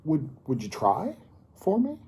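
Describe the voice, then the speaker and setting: A man voices a friendly crayon pal in a children's storybook. He sounds hopeful and gently encouraging, lifting his pitch. The tone is mellow and unhurried. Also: wildly swooping pitch, a little hiss or hum. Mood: hopeful, coaxing. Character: Barry, friendly hopeful crayon friend who asks favors gratefully.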